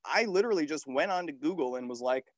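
A man around thirty, a podcast guest telling stories in an animated, casual way with the odd swear. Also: a little high, quick pace, no room sound, clean studio sound. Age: 30s. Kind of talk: casual interview storytelling.

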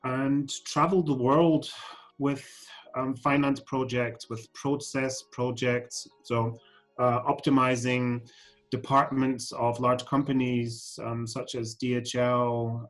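A man's voice: medium pitch, level tone, takes it slowly, faint music behind, rough recording. A man in his forties, born in Scotland and raised in Germany, answering questions as a podcast guest, with frequent ums and restarts. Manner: hesitant, many ums and restarts. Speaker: guest, fashion council CEO. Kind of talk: podcast interview.

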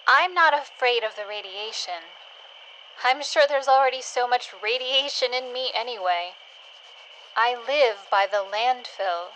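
A woman playing a curious, wistful scavenger in an audio drama, speaking in a gentle, hesitant, questioning way. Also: on the high side, measured pace, slight background noise, low-quality recording. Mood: tentative.

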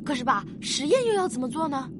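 A woman playing a curious, excitable young child in a science fiction radio drama, speaking with eager, childlike questioning. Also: high-pitched, fast talker. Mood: attentive.

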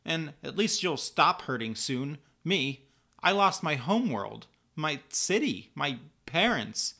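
A man puts on a naive teenage superhero girl from a comic book story. The voice is pitched up and bright, forceful and earnest. She stammers and pleads, quick to sound hurt and indignant. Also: sing-song, vocal fry, exaggerated voice. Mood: tearful, indignant. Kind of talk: dramatized comic book dialogue.

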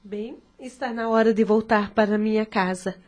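An actress playing a kind, devoted and supportive sister in a radio soap opera. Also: female voice, mid-range voice, steady tone, moderate pace, darkish tone, close to an everyday voice. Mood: happy, warm.

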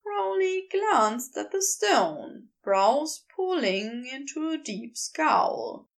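A woman reading a story aloud as a narrator, in expressive scripted narration. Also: sits high, extremely slow. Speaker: podfic narrator.

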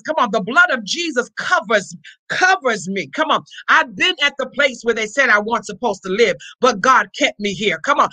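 High-pitched preacher, bright forceful tone, fervent charismatic sermon, repeated refrains, emphatic exhortation. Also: rough recording. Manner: emphatic, often raising the voice.

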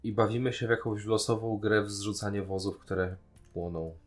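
A man's voice: fairly low pitch, fairly even intonation, normal speed, faint music behind. A man with a Polish accent co-hosting a podcast in casual, critical conversation. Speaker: podcast co-host. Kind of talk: casual critical discussion of a series.

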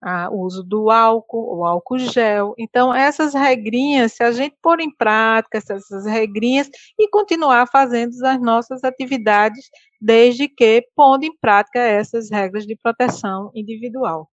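A woman's voice: fairly high pitch, normal speed, no echo, muffled recording. A woman, a guest giving earnest health advice in a casual interview, often restarting her sentences. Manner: earnest, advising, repeats and restarts.

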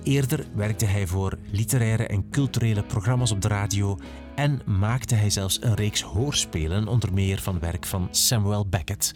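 A man's voice: lowish voice, a little mellow. A man with a Flemish accent, a podcast host reading a scripted introduction.

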